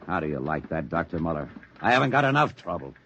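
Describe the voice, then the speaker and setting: An actor playing a brusque, irritable army general in a 1950s radio drama. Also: male voice, low voice, very animated, fast, fairly bright tone, highs cut off. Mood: impatient. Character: gruff, impatient army general, middle-aged man. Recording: noisy vintage radio recording.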